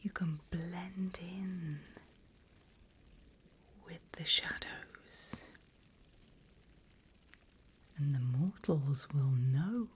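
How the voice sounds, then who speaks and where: A woman voicing a vain, teasing female demon mentor in a slow, breathy whispered ASMR roleplay. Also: deep voice, slight background noise. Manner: slow, teasing, deliberate, hushed. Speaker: demon guide training a newcomer.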